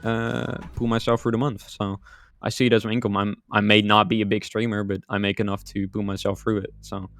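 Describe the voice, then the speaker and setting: A man's voice: lowish voice, level tone, a little fast, fairly bright tone, no room sound, studio quality, soft background music. A man in his twenties from the Netherlands, giving casual answers as a streamer guest on a podcast. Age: 20s.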